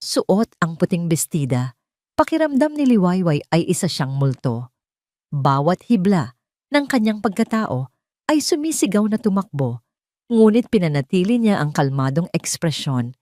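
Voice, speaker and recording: A woman narrates a scripted drama in a slightly low voice. Her pitch moves in lively, expressive swings. The sound is dry, with no room around it. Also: no room sound. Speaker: story narrator.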